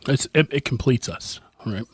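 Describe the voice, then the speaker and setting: A male podcast co-host in casual banter, joking and loud at the start of his sentences. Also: medium pitch, animated, normal speed, slightly rough, dry recording, studio quality.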